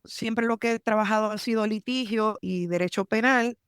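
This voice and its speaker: A woman from Puerto Rico with a Puerto Rican accent, telling her story in a lively interview and mixing English terms into her Spanish. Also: a little high, normal speed, clear, resonant tone, dry recording, studio quality. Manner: animated, emphatic, mixes English terms into Spanish. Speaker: army lawyer, guest.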